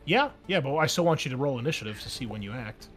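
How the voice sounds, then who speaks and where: A man's voice: medium pitch, lively intonation, quick pace, fairly bright tone. A man acts as game master for a tabletop game, with a mid-range voice. He narrates casually and graphically, in informal language. Faint music plays behind him.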